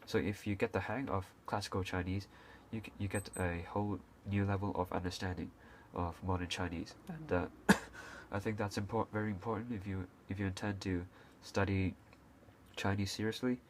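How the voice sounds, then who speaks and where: A young man with a deep, low voice speaks to camera in a loose, unscripted way. He stops and restarts often, dropping "uh" between phrases. A faint hiss sits under his voice. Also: fairly even intonation, normal speed. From Hong Kong. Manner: hesitant, many fillers and restarts, occasional coughs. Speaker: video creator. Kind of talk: casual unscripted talk to camera.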